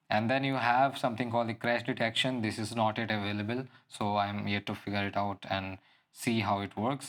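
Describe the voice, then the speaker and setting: A man with a fairly low voice talks through a gadget as a reviewer. He speaks without a script, explaining step by step. His phrasing is halting, broken into short pieces. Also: fairly bright tone. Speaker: product reviewer. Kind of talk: unscripted app and gadget walkthrough.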